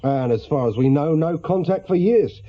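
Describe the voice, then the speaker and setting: An actor playing an easygoing, fair-minded police sergeant with an English accent, reasoning mildly in a British radio drama. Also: male voice, a little high, lively intonation, normal speed, highs cut off, lightly acted. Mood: measured.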